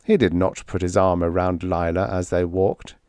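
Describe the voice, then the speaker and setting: A man reading a scripted fiction audiobook as narrator, in a measured, deliberate storytelling manner. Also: low voice, level tone, a little mellow, clear, resonant tone, dry recording.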